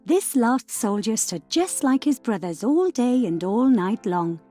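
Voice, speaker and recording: A woman storyteller narrating a scripted fairy tale for children in a measured, expressive way. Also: a little high, soft background music.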